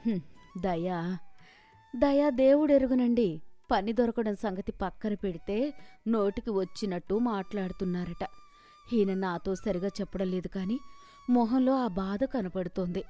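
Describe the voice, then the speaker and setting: A woman's voice: medium pitch, a little slow, long pauses, mellow, faint music behind, lightly acted. Woman acting a worried, humble old village wife. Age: older. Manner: plaintive, respectful pleading.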